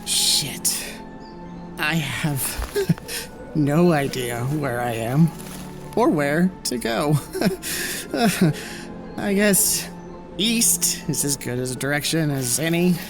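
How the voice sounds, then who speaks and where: A man playing a weary stranded scientist, very slow. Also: on the high side, expressive, over music, heavily acted. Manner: tired, muttering, wry.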